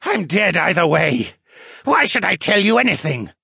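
A man's voice: fairly high pitch, big pitch swings, unhurried, creaky, fairly bright tone, husky, dry recording, studio quality, voice pushed up, heavily acted. Man acting a bitter, sneering captive merman. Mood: defiant. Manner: sneering, scornful, muttering. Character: bitter defiant captive merman fisherman, traitor mage.

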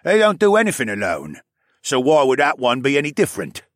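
A man acting as an earnest storybook courtier. He is formal, deferential and insistent, with animated pitch and a dry, close sound. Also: brisk, no echo. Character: earnest male courtier pleading formally before royalty. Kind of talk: audiobook character acting.

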